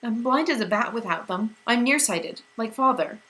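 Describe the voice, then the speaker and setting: An insecure teenage girl, as a woman reader puts her on, pitched well above the narration, strained and wobbling. Emotional and raw, in a storybook reading. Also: lively intonation, normal speed, bright, forceful tone. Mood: self-critical. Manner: high, strained, shaky.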